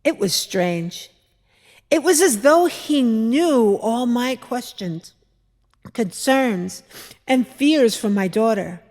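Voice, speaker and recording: A woman performing a dramatic monologue as a skeptical older mother-in-law from biblical times, telling her memories in a deliberate, expressive, reflective way. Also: on the high side. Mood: warm remembering. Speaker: dramatic reader at a church service. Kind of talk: dramatic monologue, measured storytelling. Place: large church interior. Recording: echoey church hall, long reverb.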